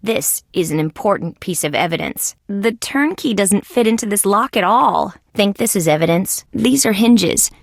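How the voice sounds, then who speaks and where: A woman's voice: mid-range voice, animated, normal speed, hardly any pauses, no room sound. A wisecracking insect police detective from a video game. She speaks in a middle register with a hard-boiled, sardonic edge. The sound is very dry, with no room around the voice. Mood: matter-of-fact. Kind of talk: scripted game dialogue, detective banter.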